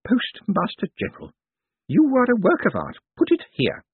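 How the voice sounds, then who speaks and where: Man acting a feisty old lady, high shift+ pitch, gleefully rude, direct, storybook character, dry close recording. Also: wildly swooping pitch, moderate pace, husky, no room sound, narrow, tinny audio, full character voice. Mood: teasing, gleeful.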